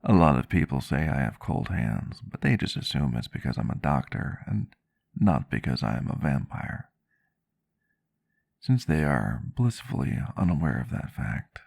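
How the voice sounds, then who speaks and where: Man playing very deep, soft-spoken gentle vampire doctor. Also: very animated, normal speed, gravelly, no echo, heavily acted. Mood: tender, reassuring. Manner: soft-spoken, formal wording, reassuring. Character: gentle, courteous male vampire doctor, caring partner. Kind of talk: roleplay ASMR, soft intimate acting. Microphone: close microphone, dry sound.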